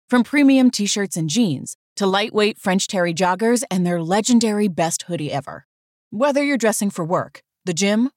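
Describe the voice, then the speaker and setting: A woman's voice: mid-range voice, dry recording, studio quality. A woman narrating a scripted advertisement.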